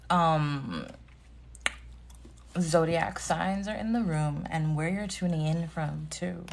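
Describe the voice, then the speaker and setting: Thirty-ish woman doing a tarot live, unhurried and chatty, saying hi to everyone by name, faint noise in the background. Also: mid-range voice, fairly bright tone. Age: 30s. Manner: chatty, greets viewers by name and laughs often. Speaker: tarot reader hosting a live stream. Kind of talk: casual live-stream chat.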